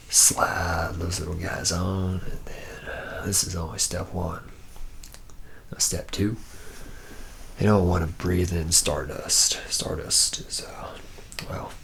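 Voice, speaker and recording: Man playing a deep, gravelly, jaded factory slacker. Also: fairly bright tone, a little hiss or hum, heavily acted. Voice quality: gravelly, low, partly whispered. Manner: rambling, hesitant drawl with sardonic asides. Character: jaded, boozy, sleazy factory worker training a recruit. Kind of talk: ASMR roleplay.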